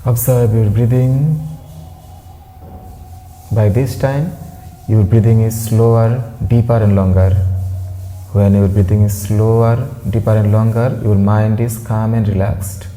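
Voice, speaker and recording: A man guides a meditation in a lowish, deeply soft and mellow voice. He speaks very slowly and gently, leaving long pauses between soothing instructions, as a yoga teacher would. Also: steady tone, a little hiss or hum.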